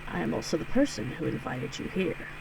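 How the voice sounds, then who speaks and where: A woman voicing a cold, eerie masked male captain who speaks harshly and quietly. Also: low voice, very animated, brisk, creaky, noisy background, full character voice. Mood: cold menace.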